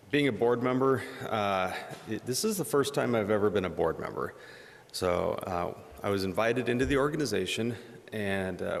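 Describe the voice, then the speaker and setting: A middle-aged man with a deep, soft voice that doesn't carry. He talks haltingly and self-deprecatingly, filling gaps with "uh," his words roughened by gravel. A long hall reverb trails behind him. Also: a little hiss or hum. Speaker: board member. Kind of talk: informal remarks at a public meeting. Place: community meeting room. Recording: echoey meeting hall.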